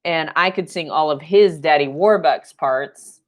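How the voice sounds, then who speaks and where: Young woman, low voice, slow and rambling, painting instructor talking through a home live stream. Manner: rambling and chatty, with fillers and playful sound effects. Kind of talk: live-stream painting lesson with chatty asides. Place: home studio room, indoors.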